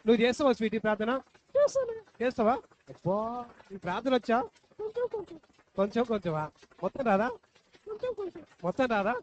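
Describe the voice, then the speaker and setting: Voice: medium pitch, normal speed, long pauses. A puppet show host talking live to children, coaxing and repeating questions. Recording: dull lo-fi live recording, faint noise.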